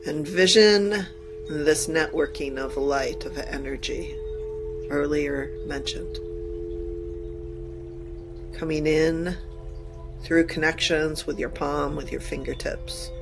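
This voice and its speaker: A woman leading a channeled guided meditation in deliberate, halting short phrases with formal wording. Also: low-pitched, gravelly, music in the background.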